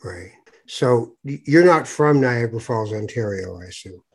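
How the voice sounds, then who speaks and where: A man's voice: medium pitch, expressive, moderate pace, slightly rough. A man from Toronto hosting a podcast interview, chatty, with fillers and restarts. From Toronto, Canada. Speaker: podcast host. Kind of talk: conversational interview.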